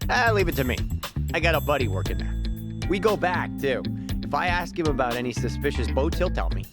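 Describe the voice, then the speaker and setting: A man acting as a streetwise game sidekick with a fairly high male voice. He is casual, frank and a bit sceptical, over clear background music. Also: animated, fairly quick, natural voice. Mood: confident.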